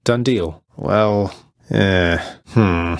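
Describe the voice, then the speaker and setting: A young prince of the underworld in a video game, pitched low. He is dryly polite and sardonic, delivering courtly lines with wry understatement. The sound is close and dry, with no room around it. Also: very slow, smooth, dry recording, heavily acted. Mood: courteous, then frustrated, muttering.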